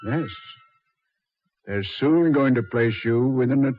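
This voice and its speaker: A man playing a suave, mocking Satan who ferries dead souls, unhurried and sardonically polite, in 1940s radio horror style. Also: mid-range voice, slight background noise, lightly acted. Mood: cool, commanding. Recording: dull 1940s radio recording.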